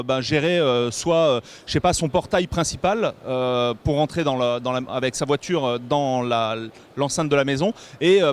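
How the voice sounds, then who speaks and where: A French man with a slightly high voice presents a tech product in a semi-improvised, explanatory style. He hesitates and rephrases as he goes. The recording carries light background noise from a trade show floor. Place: busy trade show hall.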